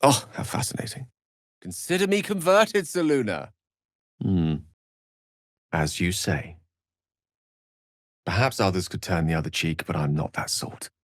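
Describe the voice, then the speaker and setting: An actor playing a learned, courtly wizard in a fantasy video game, theatrical and articulate, with formal, earnest phrasing. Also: male voice, low-pitched, animated, unhurried, pauses often, no echo, clean studio sound. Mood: wry, amused. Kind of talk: scripted fantasy game dialogue.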